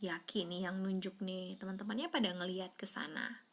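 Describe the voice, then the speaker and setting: A woman reading a children's story aloud expressively, drawing out words and prompting a young listener with questions. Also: medium pitch. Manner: animated, prompting, drawn-out words for suspense.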